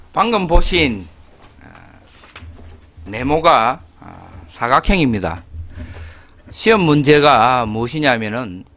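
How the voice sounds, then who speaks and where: A middle-aged man speaks with a Gyeongsang accent as a guest pastor. He talks slowly, stopping often, and his pitch moves a lot. The recording is dry, with almost no room echo. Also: fairly bright tone. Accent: Gyeongsang dialect. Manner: slow, deliberate, with pauses and filler "아". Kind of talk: conversational explanation.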